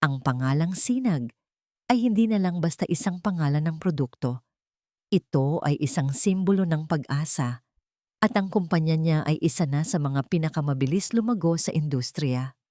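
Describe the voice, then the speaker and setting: A woman with a Filipino accent reading a scripted dramatic story in a sentimental, measured storytelling delivery. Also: low voice, expressive, dark tone, dry recording, clean studio sound.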